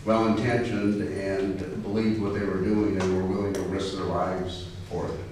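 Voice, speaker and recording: A man's voice: deep voice, little pitch movement, moderate pace, darkish tone. Middle-aged American male consultant, thoughtful and candid, far from the mic, faint room noise. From United States. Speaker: political consultant. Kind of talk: panel discussion answering questions. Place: university lecture hall. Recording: echoey lecture hall from far off.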